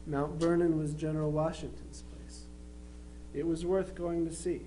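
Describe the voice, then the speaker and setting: A man playing a plainspoken twenty-three-year-old Union soldier, reading his affectionate letters home in an unhurried, matter-of-fact way. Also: a little high, level tone, dark tone, close to an everyday voice. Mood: fond, conversational. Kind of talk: dramatized reading of historical letters. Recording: faintly noisy live recording with hum.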